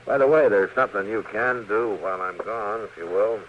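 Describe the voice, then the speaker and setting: An actor playing an Old West marshal in a radio western, dry and understated with easy authority. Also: male voice, a little fast, natural voice. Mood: dry, easygoing authority. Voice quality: deep, rumbling. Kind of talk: 1950s radio western acting. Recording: dull, noisy old radio recording.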